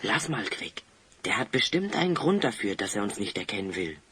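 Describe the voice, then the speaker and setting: An actor playing a calm, dryly wry adventurer in an audio drama. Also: male voice, on the low side, fairly quick, a little hiss or hum. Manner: dry, wry. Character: calm, wry male adventurer, steadying his companion. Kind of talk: German audio drama acting.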